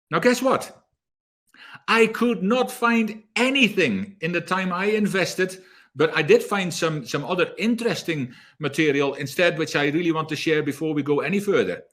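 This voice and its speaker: An energetic man speaking as a leadership coach in an online talk, often repeating words as he gathers his thoughts. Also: on the high side. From South Africa.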